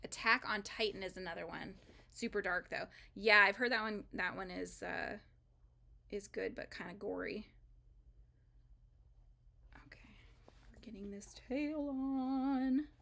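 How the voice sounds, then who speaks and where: Woman host, casual live crafting chat, rambling delivery, bright tone with a gravelly edge. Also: medium pitch, a little slow, long pauses. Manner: chatty and rambling, with frequent fillers and laughs. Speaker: crochet livestream host.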